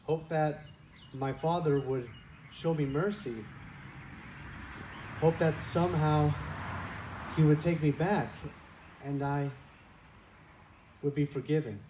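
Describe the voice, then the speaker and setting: A man's voice: on the high side, slow, pauses often, mellow. Man acting a repentant prodigal son, distant mic. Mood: hopeful. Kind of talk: reader's theater church skit monologue. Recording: distant mic, noisy room.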